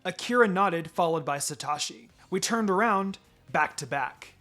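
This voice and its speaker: A man's voice: sits high, expressive, moderate pace, bright, forceful tone. A man reading a scripted first-person fan fiction story as its narrator. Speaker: story reader. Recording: music behind the voice.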